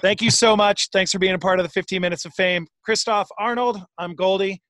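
Man, host, higher pitch, dry, chatty, animated. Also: fairly quick, no echo. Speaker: show host. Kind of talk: casual video call interview.

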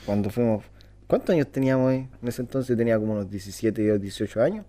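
A man's voice: on the low side, fast talker, mellow. Playful young Chilean man, casual chat. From Renaico, southern Chile. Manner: slangy, playful, teasing. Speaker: co-host. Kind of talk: casual banter between friends.

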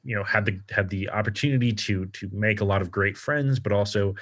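A man's voice: lowish voice, a bit bright. A man in his twenties co-hosting a podcast, reading a scripted intro and then talking casually with many fillers and restarts. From United States. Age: 20s. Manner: halting, many fillers and restarts in free talk. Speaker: podcast co-host.

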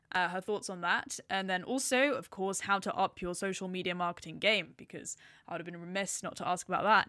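A woman hosts a business podcast with bright, forceful energy. She is chatty and bubbly, poking fun at her own slips. Her voice sits close and dry, with no room sound around it. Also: medium pitch, no room sound. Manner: bubbly, chatty, self-mocking. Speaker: podcast host. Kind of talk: podcast intro and interview.

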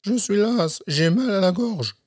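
A man voicing a storybook wolf who poses as a frail, tired old grandmother, in falsetto with feigned weary sweetness. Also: animated, a little slow, a little mellow, slight background noise. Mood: weary, coaxing. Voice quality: high falsetto.

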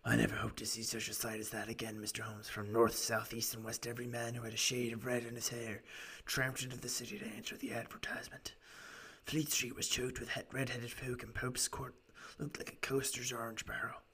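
Man putting on a raspy, earnest Victorian shopkeeper. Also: mid-range voice, flat delivery, fairly quick, sharp tone. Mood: marveling, breathy. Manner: earnest, wordy, old-fashioned storytelling. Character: Victorian London shopkeeper, earnest male storyteller recounting his tale.

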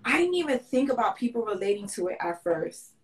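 A woman's voice: a little high, very animated, fairly quick. A woman fashion designer who lived in Grenada as a child, talking earnestly in a casual interview and often restarting her sentences.

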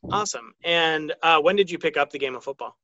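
A man's voice: a little high, fast talker, bright, forceful tone, dull audio. An American man speaking as the host of a sports podcast, interviewing a guest.

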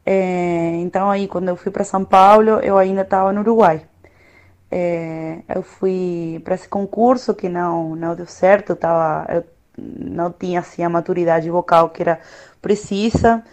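A woman in her thirties whose first language is Spanish, an opera singer chatting casually as a guest in a reflective, unhurried way, often pausing to find words. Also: on the low side, fairly even intonation, a little mellow. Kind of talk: casual conversation.

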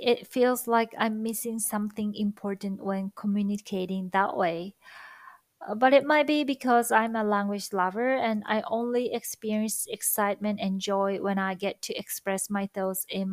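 A woman's voice: mid-range voice, no echo. A Japanese-accented woman whose first language is Japanese, sharing reflective thoughts with viewers in a careful, thoughtful way. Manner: slow, careful, thoughtful delivery with soft fillers. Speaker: language-learning vlogger.

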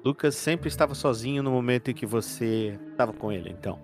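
A man voicing a polite, probing male detective in a tabletop game, formal and deliberate. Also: mid-range voice, faint music behind, natural voice.

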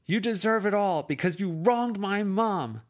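A man's voice: sits high, expressive, a little slow, pitched above the performer's own voice. A man reading an amateur audiobook, voicing a furious teenage girl who hurls bitter, cutting insults. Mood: screaming rage.

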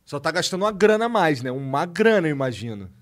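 A man co-hosting a casual podcast, blunt and swearing often with quick reactions. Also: on the high side, big pitch swings. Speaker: podcast co-host.

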